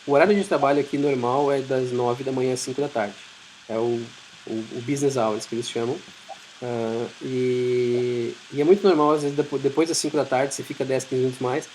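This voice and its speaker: A Brazilian man gives an informal talk in a medium-pitched voice, explaining things conversationally. His Brazilian Portuguese accent comes through over a faint noisy hiss in the recording. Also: steady tone, brisk, darkish tone. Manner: conversational, explanatory, acts out short imagined dialogues. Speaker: IT professional giving a talk. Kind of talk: informal presentation.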